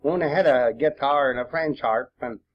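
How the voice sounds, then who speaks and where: A man's voice: medium pitch, a little hiss or hum. An elderly man with a rural American accent, a war veteran reminiscing informally in a folksy, rambling way. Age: 80s or older. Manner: folksy, rambling storytelling with frequent throat-clearing pauses. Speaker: war veteran telling his memories. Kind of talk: informal oral-history reminiscence. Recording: dull, lo-fi home recording.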